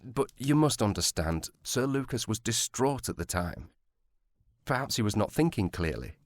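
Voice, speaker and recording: A man's voice: on the low side, very animated, moderate pace, no echo, clean studio sound. A man playing a courteous, cautious provincial police sergeant with formal speech in a period audio drama. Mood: tactful, cautious.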